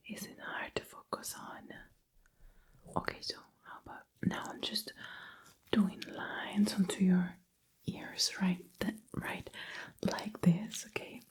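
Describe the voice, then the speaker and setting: Slow, whispering ASMR woman. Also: fairly low pitch, level tone. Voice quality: breathy whisper. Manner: gentle, reassuring, instructing. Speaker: ASMR performer playing a nurse giving tests. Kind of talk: ASMR medical roleplay. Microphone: close ear-to-ear ASMR microphone.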